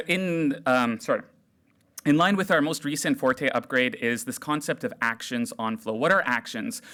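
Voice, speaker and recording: A man giving an energetic, jokey live tech talk to a hackathon crowd on a stage microphone. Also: mid-range voice, clean tone. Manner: loud, animated, jokey with the crowd. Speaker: developer relations speaker. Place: large room at a hackathon event.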